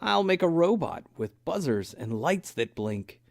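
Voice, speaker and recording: A man's voice: on the high side, very animated, unhurried, vocal fry, dry recording, clean studio sound, pitched above the performer's own voice, full character voice. A man voicing an eager, imaginative young boy bear cub in an earnest, exclamatory storybook read-aloud. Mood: wistful, decided. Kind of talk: children's storybook character voice.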